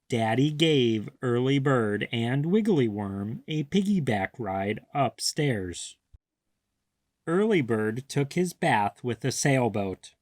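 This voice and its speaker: A man reading a children's picture book aloud, clearly and expressively. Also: mid-range voice, a little mellow, no room sound, clean studio sound. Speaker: read-aloud storyteller.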